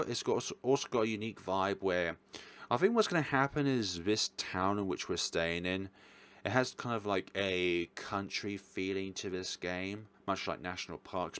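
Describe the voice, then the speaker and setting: Male video host, lowish voice, dry close sound, casual review commentary with a rambling, self-correcting delivery. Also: no room sound.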